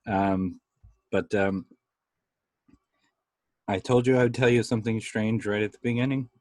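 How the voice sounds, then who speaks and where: A man hosting a casual interview chat, with a deep bass voice and a halting, filler-heavy way of talking. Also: darkish tone, dry recording. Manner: halting, many fillers and restarts, laughs often. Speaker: podcast host.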